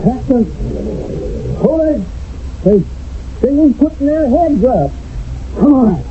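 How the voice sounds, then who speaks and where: An excitable American infantryman in an old radio war drama. His voice is high and very animated, with colloquial, nervy phrasing. It comes through a thin, narrow radio sound with noise around it. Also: slow pace, exaggerated voice. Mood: urgent, alarmed. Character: anxious ordinary American soldier under fire in wartime. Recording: tinny band-limited radio, noisy battle ambience.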